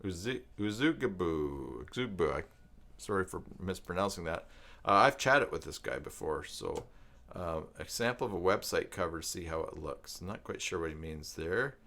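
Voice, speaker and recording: A man with a lowish voice chats casually as a live stream host. His intonation is very lively, rising and dropping often. He talks in a halting, wandering way, working through his thoughts aloud. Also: creaky. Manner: halting, rambling, thinking aloud. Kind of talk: casual live stream chat, partly reading web pages aloud.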